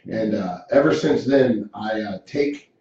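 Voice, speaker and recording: A man's voice: lowish voice, a little slow, dark tone, slight background noise. A middle-aged man, an insurance agency owner, answering interview questions as an earnest, descriptive storyteller. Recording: distant mic, very echoey room, dull.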